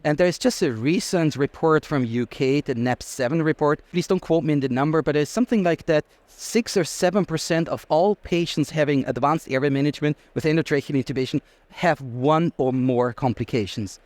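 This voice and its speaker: A man's voice: a little high, brisk, hardly any pauses, a little mellow, no echo. A man from Austria with an Austrian accent, a native German speaker, explaining things emphatically as an interview guest. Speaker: interview guest, anesthesiologist and researcher. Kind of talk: conversational expert interview.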